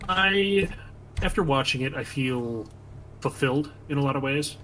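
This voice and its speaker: A man's voice: mid-range voice, lively intonation, normal speed, a bit bright, low-quality recording. A man co-hosting a stream, talking casually about films and giving his commentary. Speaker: stream co-host. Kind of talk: casual film commentary chat. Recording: clear background noise, room ambience.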